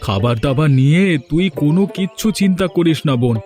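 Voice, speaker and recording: A man's voice: on the high side, expressive, fast, dark tone, faint music behind, natural voice. A man voicing a kindly, reassuring village family man in a cartoon. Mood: warm, reassuring. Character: kindly, reassuring village husband, brother and uncle. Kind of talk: Bengali cartoon voice acting.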